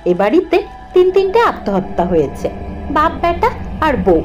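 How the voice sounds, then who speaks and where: A woman's voice: sits high, very animated, normal speed, a little mellow, dull audio. A woman reading a scripted first-person ghost story as its narrator. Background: background music.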